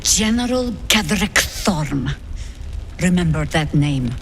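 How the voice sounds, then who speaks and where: Casting: old veteran druid heroine, lowish voice, measured authority, wary edge, fantasy game. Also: female voice, animated, a little hiss or hum. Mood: grave, resolute. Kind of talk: fantasy video game dialogue.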